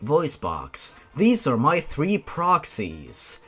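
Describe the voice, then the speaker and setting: A commanding father, voiced by a man in a horror story reading. He announces formally and slowly, with swinging emphasis, over music. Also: a little high, wildly swooping pitch, a bit bright, breathy edge, heavily acted. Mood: stern, formal. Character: commanding father introducing his henchmen, stern.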